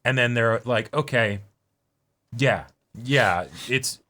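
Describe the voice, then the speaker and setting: A man's voice: medium pitch, takes it slowly, bright, forceful tone. A man co-hosting a reaction show in casual, colloquial discussion, repeating words as he reasons things out. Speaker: co-host of an anime reaction show.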